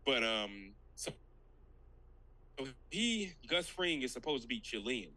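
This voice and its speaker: A man's voice: medium pitch, very animated, measured pace, pushed and bright, low-quality recording. A man hosting a podcast, steering the chat, laughing easily and hesitating with many ums.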